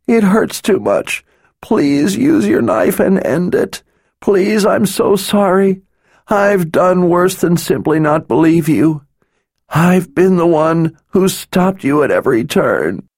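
Sobbing, pleading young woman, pitched way up, read by a male narrator; slow, anguished storybook voice. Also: animated, darkish tone, no room sound, clean studio sound, full character voice. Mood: pleading sobs. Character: grief-stricken young woman begging forgiveness.

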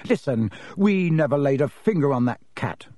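A man's voice: medium pitch, expressive, moderate pace, dark tone, no echo, close to an everyday voice. A man voicing a blunt, defensive, hot-headed teenage boy in an audiobook. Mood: angry.

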